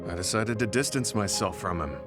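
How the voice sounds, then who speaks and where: A stoic, thoughtful soldier from a video game played by a man, with a low voice and measured, formal phrasing. He sounds serious and old-fashioned, over clearly audible music. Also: close to an everyday voice. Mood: somber, troubled. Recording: background music over dialogue.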